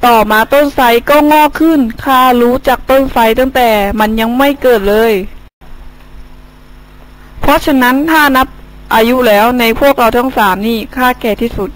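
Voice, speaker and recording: A woman's voice: high voice, moderate pace, natural voice, poor audio. A woman voicing a small, cheeky, boastful partridge in a children's story, chirpy and self-assured. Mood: proud.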